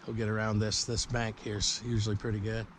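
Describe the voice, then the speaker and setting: A man's voice: on the low side, level tone, a little fast. A middle-aged man, chatting and coaching a boy while out fishing in a small river boat, recorded on a boat camera. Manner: easygoing, coaching and encouraging. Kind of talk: casual outdoor vlog chat. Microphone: action camera mounted on the boat. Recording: faint outdoor noise from a boat camera.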